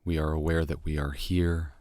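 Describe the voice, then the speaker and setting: A man leading a guided meditation in a calm, gentle, measured manner with long pauses. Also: extremely low pitch, no echo, studio quality. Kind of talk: guided meditation, slow and soothing instruction.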